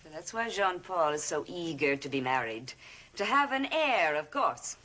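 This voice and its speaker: A probing, animated gothic schemer. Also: female voice, deep voice, exaggerated voice. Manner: questioning, deliberate. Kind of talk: gothic television soap acting.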